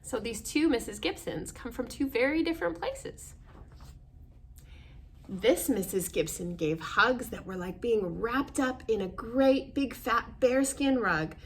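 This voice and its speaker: Lady doing kids story time, slow n gentle, highish voice, checks in with the little listeners. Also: expressive, a little hiss or hum. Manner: gentle, simple explanations with frequent questions to the child listener. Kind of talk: children's read-aloud with asides to young listeners.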